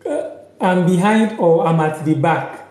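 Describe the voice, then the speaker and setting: A young man from Ghana giving a relaxed live lesson from a sofa in a small room, talking slowly and saying each word over and over. His voice sits fairly high, and it rings a little in the echoey room. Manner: slow, repeats each word and sentence several times. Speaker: language teacher on a livestream. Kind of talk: casual live lesson, reading out viewer comments.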